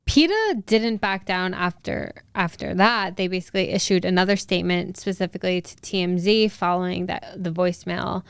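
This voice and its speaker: A woman's voice: fairly low pitch, normal speed, few pauses, clean tone, no echo, studio quality. A young woman co-hosting a podcast, recapping news in an informal, conversational way. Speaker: podcast co-host.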